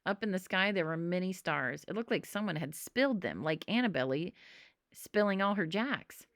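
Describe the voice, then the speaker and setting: Animated woman reading a children's book. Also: fairly low pitch, normal speed, no echo, studio quality. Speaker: storybook reader. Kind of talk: expressive children's book narration.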